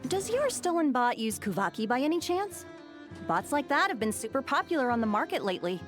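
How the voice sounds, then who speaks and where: A woman's voice: high voice, sing-song, brisk, faint music behind. A woman voicing a breezy, confident shopkeeper in a fantasy video game. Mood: breezy, assured.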